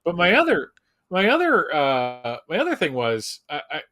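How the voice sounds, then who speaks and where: A man's voice: on the high side, wildly swooping pitch, normal speed, pushed and bright. A man chatting casually as a fan on a live show, informal and emphatic, rambling a little as he goes.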